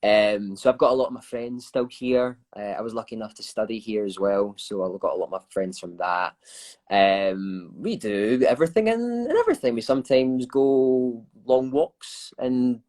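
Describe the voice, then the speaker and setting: A man's voice: medium pitch, moderate pace, darkish tone. A young man with a Glaswegian Scottish accent, chatting casually and laughing often as he answers fan questions. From Scotland. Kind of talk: casual chat.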